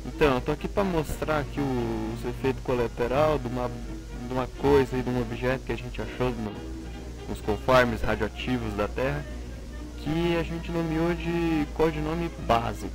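A man playing an impatient, foul-mouthed presenter of a found horror tape, with a gravelly voice. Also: medium pitch, normal speed, lightly acted, rough recording. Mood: irritated, casual. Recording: music behind the voice.

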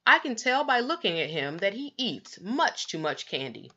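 A fussy, disapproving male doctor from a storybook, acted out by a woman exclaims with emphasis. The voice is bright and swoops widely, in a dulled recording. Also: mid-range voice, sing-song, normal speed, lo-fi sound.

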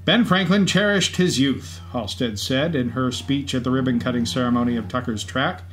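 A man's voice: mid-range voice, normal speed, a bit bright. A male co-host reads scripted narration in a mid-range voice. There is faint event ambience from a racetrack behind him. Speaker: podcast co-host. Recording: faint background ambience noise.

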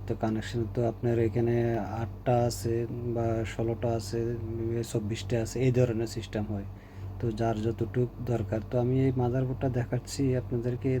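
Very muffled, dark-voiced Bangladeshi man explaining. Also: mid-range voice. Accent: Bangladeshi Bengali. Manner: halting, explanatory, repeats phrases. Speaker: repair technician presenting a tutorial. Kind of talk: informal unscripted demonstration. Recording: noticeable background hum and noise.

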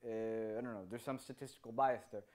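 A man's voice: on the low side, a little fast. Hebrew-speaking man on a handheld mic. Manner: animated, gestures with free hand, tags sentences with "right?". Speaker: conference speaker. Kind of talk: answering audience questions. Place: meeting room with audience. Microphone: handheld microphone.

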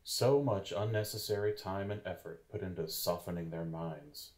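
A cold, suspicious boss of a secretive group acted by a man, speaking very low. The delivery is formal and disdainful, in audio drama style. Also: moderate pace, a little mellow, close to an everyday voice.

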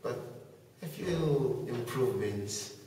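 A teenage boy from Zambia answering interview questions in a thoughtful, soft-spoken way. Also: medium pitch, lively intonation, takes it slowly, a little hiss or hum. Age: teens. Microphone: far camera. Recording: echoey room from far off.

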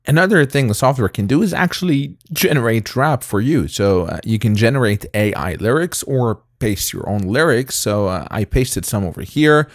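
A man in his thirties with a non-native English accent, casually demoing software in a relaxed, chatty way with frequent fillers, recorded at home on a close mic. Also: medium pitch, normal speed, hardly any pauses, no room sound. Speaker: tech reviewer. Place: home studio room with colored lights.

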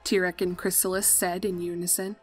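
Low-pitched woman narrating a story. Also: level tone, moderate pace, breathy edge. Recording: music under the voice.

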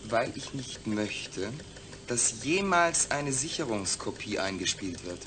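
A defiant astronaut patient in a science-fiction radio play. He sits at a middle pitch and speaks deliberately and articulately. He is proud, distrustful and used to making demands. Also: sing-song, background noise. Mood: firm, demanding. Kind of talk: German science-fiction radio drama acting.

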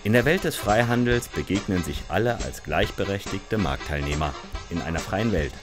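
A man playing a smug, cheery fairy in an animated explainer, theatrically selling a promise with emphatic salesman patter. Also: sits low, moderate pace. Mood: insistent. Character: smug, cheery male free-trade fairy, overselling. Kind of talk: scripted fairy-tale advocate in animated explainer. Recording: music behind the voice.

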